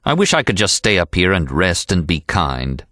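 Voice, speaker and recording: A man reading an audiobook in an expressive, animated storytelling style. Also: low voice, moderate pace, no echo, studio quality. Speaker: audiobook narrator. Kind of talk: expressive scripted audiobook narration.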